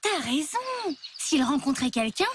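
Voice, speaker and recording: A woman's voice: high voice, sing-song, measured pace, slight background noise. A wry little cartoon girl. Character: sulky ten-year-old girl, the inventor's daughter. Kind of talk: cartoon dubbing.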